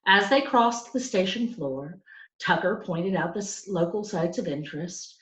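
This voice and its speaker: A woman reads a children's story aloud with a very varied melody. Her pace is slow and careful, and the pitch sits a little low. The room adds a noticeable echo. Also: lively intonation, a bit bright. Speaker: storybook reader.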